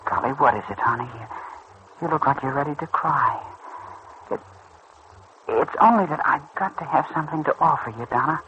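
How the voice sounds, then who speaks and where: A muffled old radio recording carries an earnest, tender teenage boy from a soap serial. His voice is bright and forceful, with slangy, boyish phrasing and a proud streak. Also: on the high side, slight background noise. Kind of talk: mid-century radio soap acting.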